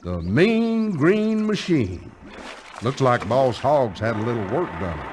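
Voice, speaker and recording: A folksy balladeer narrates with a Southern American drawl and wry amusement. His delivery rises and falls in a lively way, with loud vehicle noise behind him. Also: medium pitch, slow pace. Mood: folksy storytelling. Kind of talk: folksy scripted storytelling narration.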